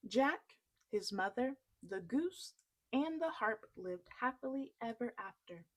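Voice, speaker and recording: A woman reading a children's story aloud expressively, in an unhurried, deliberate way. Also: mid-range voice, low-quality recording.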